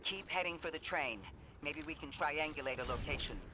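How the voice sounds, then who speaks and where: A man's voice: a little high, brisk, lightly acted. A man playing a knowledgeable mission advisor in a science fiction video game, explaining things matter-of-factly. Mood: focused. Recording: clear background noise.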